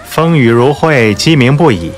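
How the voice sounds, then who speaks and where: A man playing an urbane, smug young gentleman in an audiobook drama, suave and teasing. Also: medium pitch, lively intonation, moderate pace, faint music behind, lightly acted.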